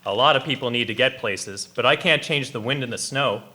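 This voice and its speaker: A man's voice: medium pitch, fairly quick, bright, forceful tone, natural voice. A man playing a cautious, firm and matter-of-fact ice boat captain in a historical radio drama. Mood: resigned, blunt. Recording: echoey, noisy outdoor ambience.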